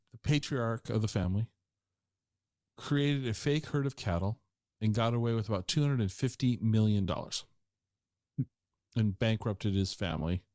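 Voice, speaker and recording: A man's voice: mid-range voice, a little mellow, no echo, clean studio sound. An American man hosting a podcast interview in an informal, rambling, conversational way. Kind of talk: casual interview chat.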